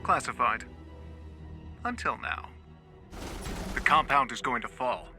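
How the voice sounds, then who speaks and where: A cynical military superior briefing troops in a sci-fi war game. He speaks in a measured, dry, detached way, with music playing behind him. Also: mid-range voice, lively intonation, sharp tone, exaggerated voice. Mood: sardonic. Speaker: mission commander. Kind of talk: video game cutscene acting.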